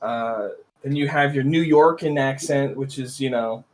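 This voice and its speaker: A man's voice: medium pitch, unhurried, slight background noise. A young man with a Californian accent, chatting casually and laughing often. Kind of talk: casual chat on a livestream.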